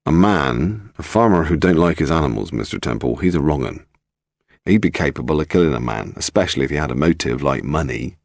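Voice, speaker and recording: A man's voice: very deep voice, lively intonation, fairly quick, vocal fry, no room sound, clean studio sound, exaggerated voice. A man playing a knowing, gossipy village barman with a rural English accent and folksy, darkly insinuating talk. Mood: darkly accusing.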